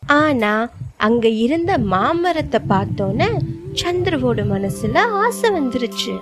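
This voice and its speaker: A woman's voice: high-pitched, big pitch swings, slow, soft background music. A woman narrating a scripted children's story as the storyteller.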